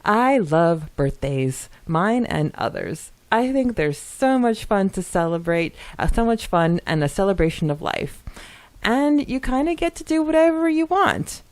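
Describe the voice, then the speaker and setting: A woman co-hosting a podcast, reading listener comments aloud and chatting casually in an animated, giggly manner. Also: mid-range voice, measured pace. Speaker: podcast co-host.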